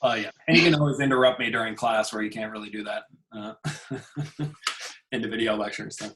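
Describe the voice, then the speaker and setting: A man, an instructor, chatting casually with his students on a video call, relaxed and chuckling as he trails off. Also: on the low side, fairly quick, rough recording. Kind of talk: casual class meeting chat. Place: home.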